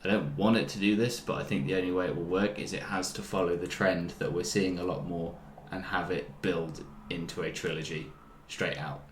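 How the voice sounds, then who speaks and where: A deep male voice in an echoey room with faint background noise. He talks casually as a podcast co-host, offering opinions and rewording his points as he goes. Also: fairly even intonation, brisk, few pauses. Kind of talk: casual discussion chat.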